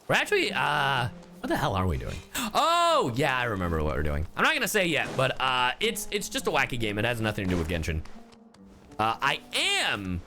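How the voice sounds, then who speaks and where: A man's voice: on the low side, pushed and bright. A man streaming a game and chatting casually with viewers, playful and exclamatory as he reacts. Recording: game music behind the voice. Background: video game music.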